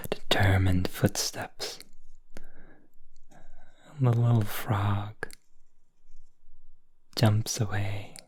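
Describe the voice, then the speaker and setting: A man telling a bedtime story in a soft ASMR whisper. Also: fairly low pitch, breathy edge, dry recording.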